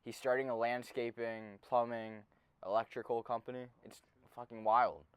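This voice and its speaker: A man's voice: medium pitch, level tone, moderate pace, smooth, no echo. A man of about eighteen co-hosting a podcast in casual chat, joking and slangy, often cutting in on the others. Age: around 18. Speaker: podcast co-host.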